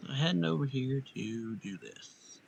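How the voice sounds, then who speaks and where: A man's voice: medium pitch, animated, unhurried, creaky, slight background noise. A man doing casual, laid-back gameplay commentary as a streamer. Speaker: game streamer.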